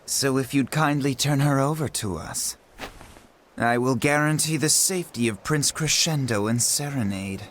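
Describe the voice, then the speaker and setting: An actor playing a scheming male count who speaks with courteous, menacing smoothness, in a video game cutscene. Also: medium pitch, moderate pace, fairly bright tone, slight background noise, close to an everyday voice. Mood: smoothly menacing. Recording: game audio with music behind.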